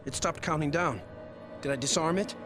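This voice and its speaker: An actor playing an earnest, anxious and excitable young miner hero in a video game cutscene. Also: male voice, on the high side, moderate pace, music in the background, close to an everyday voice. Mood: anxious, excited.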